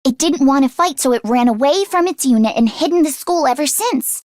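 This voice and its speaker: A woman voicing an earnest, squeamish teenage schoolgirl mechanic in an anime game, expressive and quick to exclaim. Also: high-pitched, dry recording, clean studio sound. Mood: earnest, explaining.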